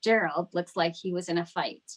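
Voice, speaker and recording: A woman's voice: lowish voice, normal speed. A woman narrating scripted stage directions at a table read.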